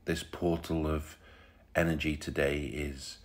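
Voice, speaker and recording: Man, extremely low pitch, meditation guide, soothing delivery, unhurried, short phrases with pauses. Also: little pitch movement.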